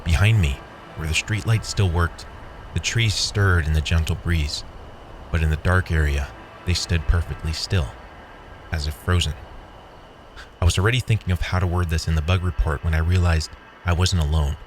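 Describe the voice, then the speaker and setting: A man's voice: low voice, steady tone, normal speed, background noise. A man reading scripted first-person horror fiction as the narrator.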